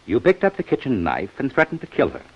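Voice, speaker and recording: A man's voice: medium pitch, huge pitch swings, quick pace, husky. A man playing a shrewd, sardonic police inspector in a 1950s radio detective drama, with a dry, probing manner. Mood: sardonic, accusing. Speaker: radio actor. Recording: muffled old-time radio broadcast recording.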